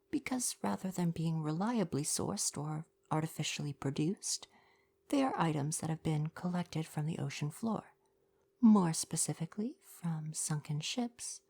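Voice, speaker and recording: A woman playing a kind young mer person shopkeeper in an ASMR roleplay, speaking softly in polite, articulate, formal retail patter. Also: low-pitched, gravelly, husky, heavily acted.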